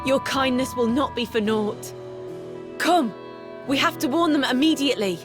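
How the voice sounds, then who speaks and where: A woman's voice: high voice, normal speed, over music, natural voice. A woman playing an earnest, compassionate warrior spirit in a video game, formal and deferential toward a goddess. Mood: pleading, grateful. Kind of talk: video game cutscene acting.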